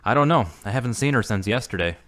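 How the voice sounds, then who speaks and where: A man playing an earnest, moody man returned to his faith, measured and subdued, in an audio drama. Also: mid-range voice, animated, fairly bright tone, lightly acted. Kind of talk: hobby audio drama acting.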